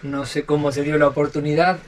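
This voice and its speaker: A man with an Argentine accent telling stories as a podcast guest, talking in a halting way and repeating words. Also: on the high side, level tone, far from the mic, slight background noise. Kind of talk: casual storytelling interview. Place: aboard a sailboat.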